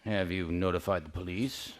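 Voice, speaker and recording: A man's voice: low voice, fairly even intonation, natural voice. An actor playing a cold, scornful old banker who taunts a desperate man in a radio play. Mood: contemptuous. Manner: sneering, slow and cutting.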